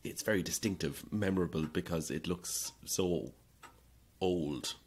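Audio drama role: cautious, well-mannered hotel clerk, low-pitched, measured and discreet. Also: male voice, darkish tone, breathy edge, heavily acted. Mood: wary. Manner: polite, careful, halting. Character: courteous, cautious male hotel receptionist. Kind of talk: naturalistic audio drama acting.

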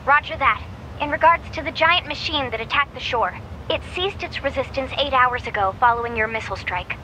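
A woman's voice: high voice, animated, a little fast, sharp tone, slight background noise, rough recording. A woman playing an earnest, caring young android radio operator in a video game, eager and precise. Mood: earnest briefing. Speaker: military operator.

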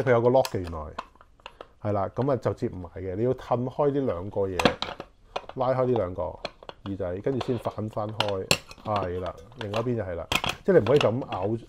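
A man's voice: on the low side, very noisy. A man in his forties with a Hong Kong Cantonese accent, unboxing camping gear in casual chat and thinking aloud with many fillers, recorded on a lapel mic in a small living room. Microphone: clip-on lapel mic.

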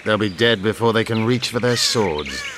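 An actor playing a proud, bitter elven rebel commander in a fantasy game, grave and declamatory. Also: male voice, on the low side, expressive, moderate pace, noisy background, close to an everyday voice. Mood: commanding. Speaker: video game character actor. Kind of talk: game dialogue acting.

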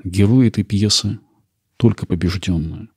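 A man hosting a podcast with measured, explanatory scripted documentary narration. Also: lowish voice, a little mellow, slightly rough, dry recording, studio quality. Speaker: podcast host.

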